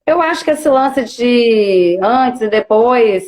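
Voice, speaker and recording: Uma educadora física que apresenta uma live e fala de forma descontraída e falante. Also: female voice, on the high side, takes it slowly. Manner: relaxed, chatty, many fillers. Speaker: physical educator and host. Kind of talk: casual live-stream interview.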